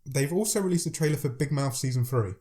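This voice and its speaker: A man's voice: medium pitch, fast, mellow. A man with a head cold, chatting eagerly about films as a podcast co-host. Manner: chatty, enthusiastic, reads out film blurbs. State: has a cold, deeper voice. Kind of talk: casual chat about films.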